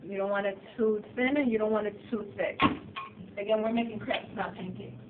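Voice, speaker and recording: A slightly hoarse woman chatting loosely through a cooking demonstration in her home kitchen. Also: mid-range voice, steady tone, brisk. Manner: chatty, loose, with fillers and restarts. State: slightly hoarse, getting sick. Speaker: cooking show host. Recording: distant, tinny and noisy home video.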